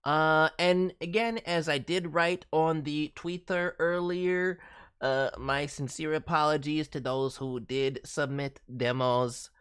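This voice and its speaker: A man's voice: fairly high pitch, steady tone, slow, fairly bright tone, smooth. A man voicing a boastful, silly cartoon raccoon who hosts a livestream in a playful, showy, rambling way with cutely mangled words. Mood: upbeat, showy. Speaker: host reviewing voice demo reels on a livestream. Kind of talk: livestream chat in a cartoon character voice.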